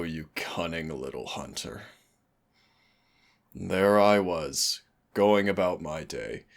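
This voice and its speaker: A brooding immortal demon voiced by a man speaks in a very deep voice. He takes his time over each phrase, suave and darkly affectionate, with solemn drama suited to an intimate audio roleplay. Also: gravelly, fairly bright tone, exaggerated voice. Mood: teasing, amused. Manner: teasing endearments, measured, solemn dramatic delivery. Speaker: demon hunter's mentor and partner.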